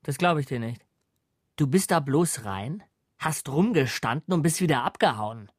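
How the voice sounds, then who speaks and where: A young ex-convict drifter in an audio crime drama. He is stubborn and superstitious. His voice sits somewhat high for a man. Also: lively intonation, normal speed, slightly rough, no echo, studio quality. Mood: defiant.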